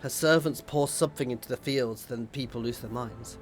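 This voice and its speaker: A man's voice: mid-range voice, normal speed, soft background music, natural voice. A man reading as a pleading peasant rebel. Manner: pleading, hushed and earnest. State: slightly hoarse voice. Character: desperate male peasant rebel prisoner.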